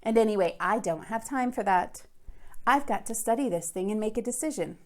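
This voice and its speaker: A woman's voice: mid-range voice, lively intonation, fairly quick, lightly acted. An earnest middle-school boy voiced by a woman tells his own storybook case. He is fretful and emphatic, leaning hard on key words and dropping into confiding asides. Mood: earnest, determined. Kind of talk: first-person children's book read aloud.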